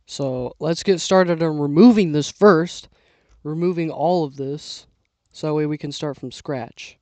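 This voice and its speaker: A man's voice: on the high side, measured pace, dark tone, no room sound. Young man muttering while building. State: losing his voice, clearing his throat. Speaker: LEGO builder vlogger. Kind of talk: casual build commentary.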